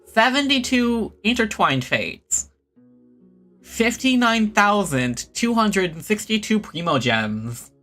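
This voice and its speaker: Bright-voiced casual game streamer. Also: medium pitch, very animated, takes it slowly, faint music behind. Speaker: livestreamer playing a video game. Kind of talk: casual livestream chat and game commentary.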